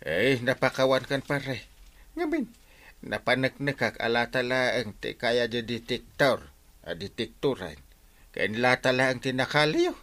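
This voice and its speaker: An actor with an Ilocano accent playing a flustered, stammering village captain in a radio comedy drama. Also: male voice, medium pitch, unhurried, a bit bright, no room sound. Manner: stammering, whiny, exclaiming. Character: flustered, excitable village captain, comic middle-aged man.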